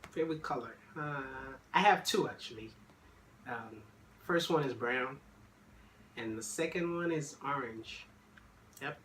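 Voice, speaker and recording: A man's voice: mid-range voice, measured pace, pauses often, fairly bright tone, roomy sound, a little hiss or hum. A man in his twenties from Haiti, a college student joining his sister's video, joking and teasing in a laid-back way. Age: 20s. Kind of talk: casual chat.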